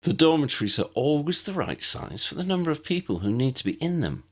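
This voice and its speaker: A man's voice: on the high side, big pitch swings, a little fast, fairly bright tone, pitched above the performer's own voice. A man voicing a friendly, helpful young schoolgirl witch in an audiobook, chatty and reassuring as she explains things. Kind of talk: audiobook character voice.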